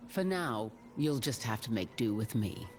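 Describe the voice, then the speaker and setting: A woman's voice: extremely low pitch, animated, measured pace, darkish tone, slight background noise. A woman voicing a grave, commanding heroic warrior in a video game. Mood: grave, determined.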